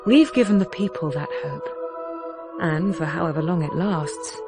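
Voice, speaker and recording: An actress playing a grave, hopeful noblewoman ruler, narrating game cutscenes in a solemn, measured, earnest way. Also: sits low, vocal fry. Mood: hopeful, resolute. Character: grave, hopeful noblewoman ruler rebuilding her war-torn land. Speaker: campaign narrator. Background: background music.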